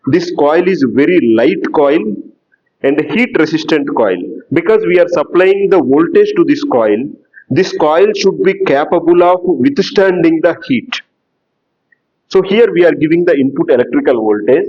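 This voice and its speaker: A man giving a lecture in a slow, explanatory way that repeats key terms. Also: a little high, lo-fi sound. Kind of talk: classroom lecture.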